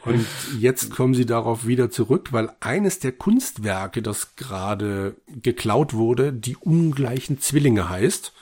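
A man's voice: mid-range voice, darkish tone, husky. A man co-hosting a podcast, casually retelling an audio drama episode and acting out quoted bits as he goes. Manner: acts out quoted lines while retelling. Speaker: podcast co-host.

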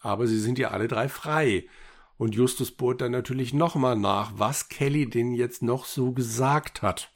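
A man with a mid-pitched, rather raspy voice co-hosts a podcast. He recaps and reviews in a relaxed conversational style, as in an informal chat. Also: normal speed. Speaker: podcast co-host.

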